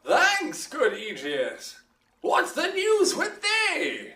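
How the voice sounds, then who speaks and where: An actor playing a lordly, measured duke in a Shakespearean audio drama, with stately, declamatory delivery. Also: male voice, extremely high pitch, wildly swooping pitch, pushed and bright, full character voice. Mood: grand, festive. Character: lordly, measured duke of Athens passing judgment. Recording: roomy echo, distant from mic.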